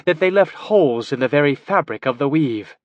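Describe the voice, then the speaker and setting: A man's voice: on the high side, animated, a little fast, no room sound, lo-fi sound, voice pushed up, lightly acted. A man voicing a wary male elf scout and warrior who questions in a formal manner.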